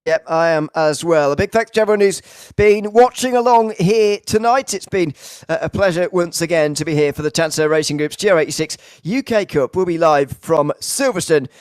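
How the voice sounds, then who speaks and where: A man's voice: on the high side, fairly quick, clear, resonant tone, no echo. A man hosting and commentating a sim racing broadcast. He interviews a driver and wraps up the night, rambling a little and using many fillers. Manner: rambling, filler-heavy, enthusiastic wrap-up.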